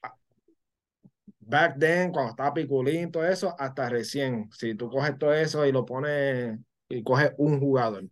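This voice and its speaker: Guy hosting a podcast, mid pitch, halting, flips between english and spanish. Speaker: podcast host. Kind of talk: casual interview talk.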